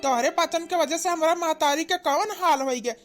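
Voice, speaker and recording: An angry village husband and devoted son voiced by a woman for cartoon comedy. The voice is high, with an Awadhi accent. The delivery is callous and hectoring. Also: normal speed, soft background music. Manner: hectoring, repetitive scolding.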